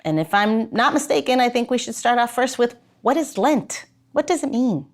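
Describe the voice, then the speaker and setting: A woman's voice: fairly high pitch, lively intonation, moderate pace. A woman hosting a podcast in casual, informal interview chat, with a self-deprecating way of talking. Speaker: podcast host.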